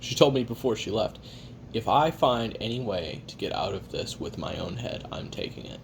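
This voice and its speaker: A low-voiced, gravelly male assassin played by a man confides his memoirs to a recorder. He is weary and sardonic, halting between phrases and swearing casually as he eats. Faint background noise sits under the audio drama monologue. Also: sing-song. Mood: blunt, irritated. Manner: halting, sardonic, casually profane, talking while eating. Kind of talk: first-person confessional audio roleplay monologue.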